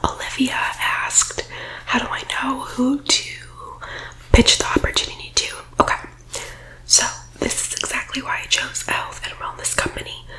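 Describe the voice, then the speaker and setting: A woman's voice: sits high, level tone, moderate pace, lightly acted, poor audio. Whispering female boss-babe recruiter, acted by a woman. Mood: persuasive, evasive. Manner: faux-professional, reassuring, tagging points with "okay?". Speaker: ASMR roleplay performer. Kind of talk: soft-spoken whispered ASMR roleplay, comedic sales pitch.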